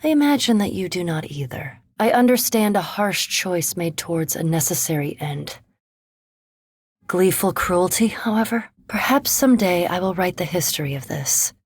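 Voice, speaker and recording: An actress playing a cool, scholarly, curious vampire animancer in a fantasy video game, speaking formally and precisely with a dry, reserved manner. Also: female voice, on the low side, lively intonation, measured pace, breathy edge, no room sound. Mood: reflective.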